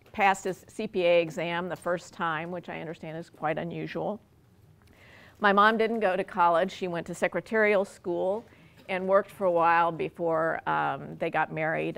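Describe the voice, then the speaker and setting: A woman's voice: lowish voice, gravelly, a bit bright. An older American woman from Texas gives an informal autobiographical talk to a lecture room, with a wry, self-deprecating manner. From Dallas, Texas. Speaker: university speaker. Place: lecture room with audience, indoors.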